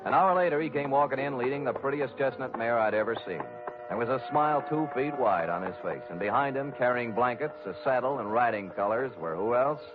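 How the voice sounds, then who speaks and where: An actor playing a wry, tough private detective, delivering clipped, deadpan lines in 1940s radio noir style. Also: male voice, mid-range voice, a little fast, music in the background, close to an everyday voice. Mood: wry narration. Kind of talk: 1940s radio noir acting, first-person narration. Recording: tinny old radio broadcast.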